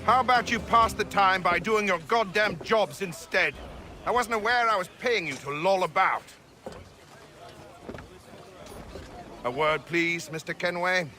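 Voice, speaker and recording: Game ship's captain: gruff, blunt, sharp-edged tone, very varied pitch, faint music. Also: male voice, moderate pace. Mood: angry, commanding. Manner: stern, blunt, formal. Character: gruff, resentful sea captain of a sailing ship. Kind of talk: video game cutscene acting.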